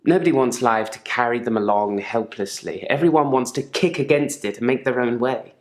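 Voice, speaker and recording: An actor playing a controlled, quietly menacing therapy patient in a game drama, speaking in a measured, deliberate and politely probing way. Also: male voice, mid-range voice, echoey room, close to an everyday voice. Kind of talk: live-action game drama monologue.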